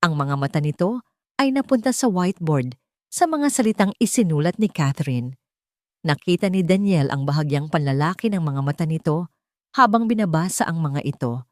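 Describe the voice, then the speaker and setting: A woman's voice: sits low, animated, moderate pace, darkish tone, no echo, studio quality. A woman with a Filipino accent reading a scripted dramatic story as narrator.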